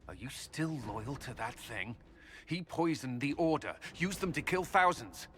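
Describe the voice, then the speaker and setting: A stern, bright-voiced commander. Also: male voice, fairly high pitch, lively intonation, slight background noise. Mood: accusing. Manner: firm, measured and formal. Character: stern male military commander, disciplined and principled. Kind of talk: video game voice acting.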